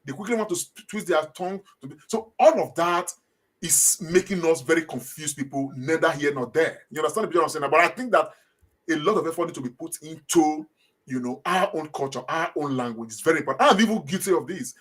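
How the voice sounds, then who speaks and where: A man's voice: on the high side, fairly quick, fairly bright tone, slightly rough. A middle-aged man with a Nigerian accent, answering interview questions in a hesitant way, repeating words and saying uh often. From Edo State, Nigeria. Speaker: guest.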